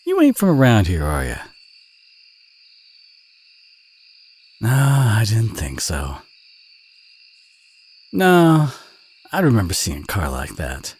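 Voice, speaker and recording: Male actor as friendly Southern country boy, lowish voice, very varied intonation, folksy, chatty, audio roleplay. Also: huge pitch swings, measured pace, pauses often, breathy edge, exaggerated voice. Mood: friendly concern. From southern United States. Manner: folksy, chatty, gently teasing. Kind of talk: intimate audio roleplay addressed to the listener.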